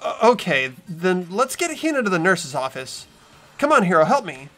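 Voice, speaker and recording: A woman's voice: on the low side, very animated, moderate pace, fairly bright tone. A woman reading narration and lead dialogue in a game playthrough, with casual banter. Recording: music bed behind voice.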